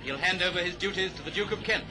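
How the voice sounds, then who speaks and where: A British man narrating a 1930s newsreel in a brisk, declamatory, jaunty announcer's manner. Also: high-pitched, noisy background. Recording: vintage 1930s newsreel soundtrack, music underneath. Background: music.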